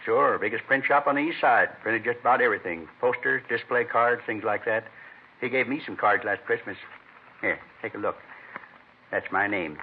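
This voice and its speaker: An actor playing an agitated, over-eager middle-aged American neighbor at a crime scene, in 1950s radio drama style. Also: male voice, lowish voice, close to an everyday voice. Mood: chatty, earnest. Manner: anxious, eager, repetitive, halting phrases. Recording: muffled, noisy old radio recording.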